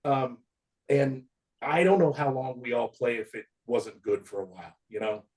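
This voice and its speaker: Man in his 50s, ex-player reminiscing, rambling delivery with frequent laughs, medium pitch. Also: fairly even intonation, moderate pace, darkish tone. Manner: rambling, laughs often, trails off. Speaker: podcast host, former football player. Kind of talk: casual reminiscing chat.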